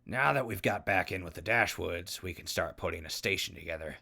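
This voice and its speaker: A man's voice: low voice, fairly quick, gravelly, sharp tone, heavily acted. A man playing a chatty, wry wasteland radio host in an audio drama, casual and easygoing, prone to asides. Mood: casual, persuasive. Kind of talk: radio drama acting with mock sponsor reads.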